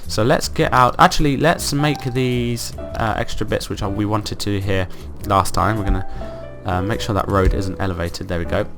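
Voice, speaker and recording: Croaky, upbeat man narrating gameplay. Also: fairly low pitch, steady tone, moderate pace, few pauses, over music. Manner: upbeat, rambling, thinks aloud with fillers. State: croaky from illness. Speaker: let's play host. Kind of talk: casual gameplay commentary.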